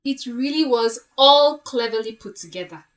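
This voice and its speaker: A woman playing a shrewd, assured woman who deliberately lays out a case in a story drama. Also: fairly high pitch, lively intonation, distant mic, natural voice. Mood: assured, accusing. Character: shrewd woman calmly exposing a plot.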